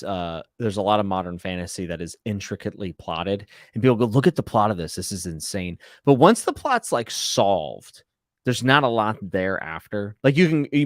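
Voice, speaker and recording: A man's voice: fairly low pitch, no echo, clean studio sound. A man co-hosting a casual book discussion, speaking earnestly and emphatically and quoting imagined readers. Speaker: co-host on a book readalong. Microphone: close microphone on a live video chat.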